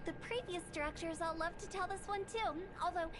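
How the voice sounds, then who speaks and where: A woman's voice: extremely high pitch, a little fast, background noise. A woman playing a playful young funeral parlor director in a game, telling old tales in an articulate, dramatic, self-satisfied way. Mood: dramatic, smug. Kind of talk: theatrical game dialogue, storytelling.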